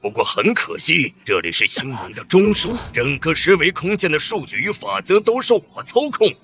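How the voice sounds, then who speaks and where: Bright-voiced, pompous alien overlord tyrant. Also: male voice, a little high, very animated, moderate pace, heavily acted. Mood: haughty contempt. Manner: pompous, contemptuous, menacing. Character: arrogant male alien overlord, a godlike tyrant. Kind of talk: dramatic animated-comic dialogue.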